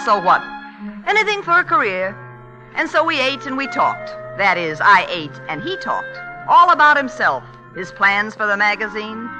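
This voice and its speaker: An actress playing a sharp-witted, exasperated young woman writer in a 1940s radio comedy, with a wry, dramatic delivery. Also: medium pitch, natural voice, poor audio.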